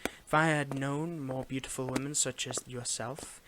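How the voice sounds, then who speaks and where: Man playing a soft-spoken courteous Victorian footman, noisy recording. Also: mid-range voice, steady tone, natural voice. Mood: courteous, smitten. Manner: formal old-fashioned phrasing, gentle, halting, lapsing into whispers. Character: courteous, flirtatious Victorian footman, weary, gentlemanly. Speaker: audio roleplay performer. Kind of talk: intimate ASMR roleplay acting.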